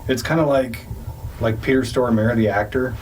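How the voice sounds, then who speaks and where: A man's voice: mid-range voice, fairly even intonation, normal speed, a little mellow, distant mic, a little hiss or hum, rough recording. A man co-hosting a casual podcast, trading dry, sarcastic jokes. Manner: joking, dry sarcastic asides. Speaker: podcast co-host. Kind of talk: casual chat.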